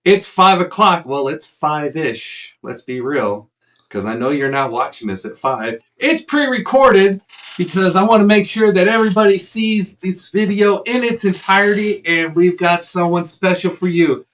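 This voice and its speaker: A man's voice: high-pitched, animated, normal speed, a bit bright. Joking Chicago-accented male host. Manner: upbeat, joking, laughs easily. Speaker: show host. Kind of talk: casual interview.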